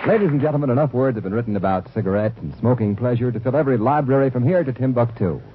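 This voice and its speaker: A man's voice: mid-range voice, rapid-fire, a faint crowd, a little hiss or hum. A man reading a 1940s radio commercial, persuasive and emphatic. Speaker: commercial announcer. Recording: tinny old radio broadcast.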